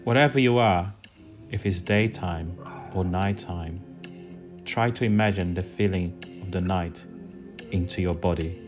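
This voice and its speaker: A man from Brazil, a dance artist, narrating a calm guided walk with soft music behind. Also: deep voice, a little slow.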